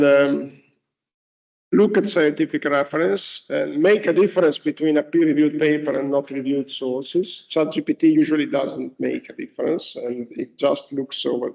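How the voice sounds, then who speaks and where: Man, native Italian, lecturer, halting with restarts, gravelly, dull lo-fi sound. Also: a little high, a little mellow. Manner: halting, many restarts and fillers. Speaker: university lecturer. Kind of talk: lecture to students. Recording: dull lo-fi recording.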